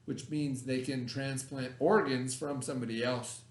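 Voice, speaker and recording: A man's voice: medium pitch, fairly even intonation. A man teaching children by reading a lesson aloud, in an instructional way that mixes reading with asides and questions. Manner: instructional, pausing to pose and answer questions.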